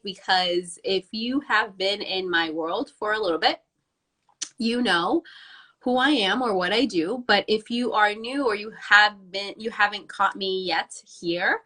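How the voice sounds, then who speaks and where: Bright raspy-voiced woman hosting a livestream. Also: medium pitch. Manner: effusive, greets viewers by name. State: raspy from a cold. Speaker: live stream host. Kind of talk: live-streamed motivational talk.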